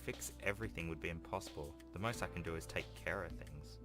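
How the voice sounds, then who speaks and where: A man's voice: low-pitched, fast, lightly acted. A man voicing an earnest, helpful teenage schoolboy from a visual novel in a plain, matter-of-fact manner. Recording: music behind the voice.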